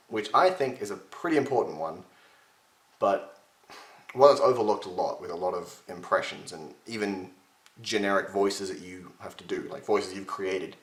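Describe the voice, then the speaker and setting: Chatty young man with a strained, gravelly voice. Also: lowish voice, a bit bright, roomy sound. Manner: chatty, self-mocking, gestures with his hands. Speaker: voice impression tutor. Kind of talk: casual webcam tutorial. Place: home room with shelves, indoors. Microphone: webcam at desk distance.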